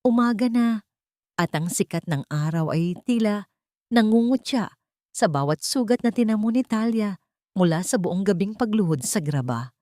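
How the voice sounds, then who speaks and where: A woman's voice: medium pitch, lively intonation, normal speed, mellow, dry recording, studio quality. A woman with a Filipino accent reading a dramatic scripted audiobook story as its narrator.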